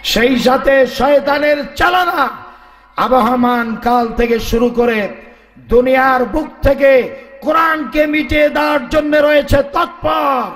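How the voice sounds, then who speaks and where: A male Islamic preacher delivers a fiery sermon in a very high, hoarse, straining voice. His pacing is slow and declamatory, built to draw responses from listeners. The sound echoes heavily over a PA. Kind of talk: fiery sermon to a live congregation. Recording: echoey PA sound, faint crowd.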